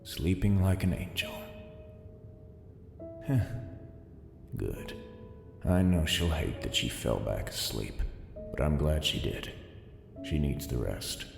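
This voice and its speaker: A man playing a weary, brooding, decent police officer with a gravelly voice, muttering to himself in an audio drama. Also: extremely low pitch, animated, takes it slowly, long pauses, full character voice. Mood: tender, tired. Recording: echoey long reverb, music underneath.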